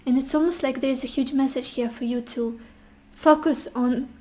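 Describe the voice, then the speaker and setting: Woman, high pitch, voice fading and hoarse; soft, reassuring tarot-reading delivery. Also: steady tone, normal speed. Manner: gentle, reassuring, addresses the viewer directly. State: losing her voice, hoarse. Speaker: tarot reader. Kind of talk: casual pick-a-card tarot reading.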